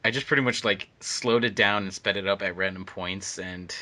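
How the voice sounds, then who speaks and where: A man's voice: low-pitched, steady tone, moderate pace, bright, forceful tone. A man talking casually on a commentary track as an audio editor.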